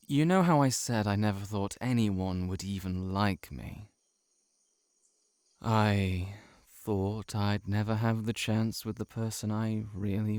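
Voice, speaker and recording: A man playing a shy, heartbroken friend in an intimate audio roleplay, confiding haltingly to the listener. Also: on the low side, a little mellow, no echo. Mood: tentative, tender. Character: shapeshifting monster posing as a shy, heartbroken male friend.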